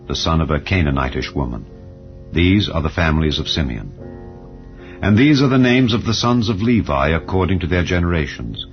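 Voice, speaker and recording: A very low male voice delivers scripture as a solemn narrator. He speaks in a measured, declamatory way, in a dramatized reading style. The recording is slightly dull and lo-fi, with calm music playing clearly behind him. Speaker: Bible narrator. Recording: dull lo-fi audio over music. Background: calm background music.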